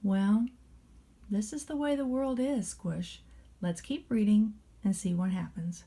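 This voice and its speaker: A woman reading a children's storybook aloud as a nanny, in a measured, expressive storytelling manner. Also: medium pitch. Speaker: storybook reader playing a nanny.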